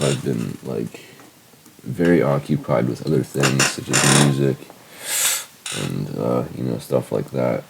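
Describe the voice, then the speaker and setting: A man's voice: a bass voice, gravelly, heavy background noise. A young man in his late teens with a heavy cold and a stuffed-up voice, rambling casually with lots of fillers and swearing as he reviews his old music. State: congested from a cold. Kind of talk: casual screen-recording commentary.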